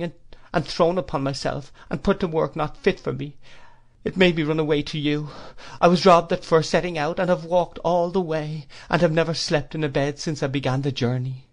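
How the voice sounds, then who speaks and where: A man's voice: a little high, fairly even intonation, normal speed, voice pushed up, natural voice. A man reading a storybook and voicing a ragged runaway boy who pleads earnestly, close to tears. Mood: pleading.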